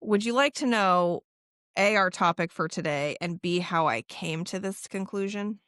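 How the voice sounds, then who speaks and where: A woman co-hosting a podcast, chatting casually in an animated, teasing way with quick jokes. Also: on the low side, dry recording, studio quality. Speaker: podcast co-host. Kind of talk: casual chat.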